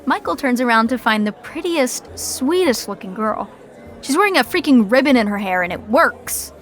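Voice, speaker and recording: A woman narrating a scripted sitcom podcast with wry, deadpan asides. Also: on the high side, moderate pace, faint music behind.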